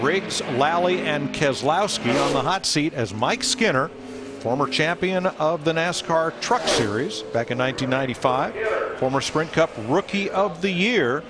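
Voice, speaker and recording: A man's voice: a little high, noisy background. A man calling a live stock car qualifying session as the play-by-play announcer, upbeat and reeling off driver stats. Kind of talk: live race commentary.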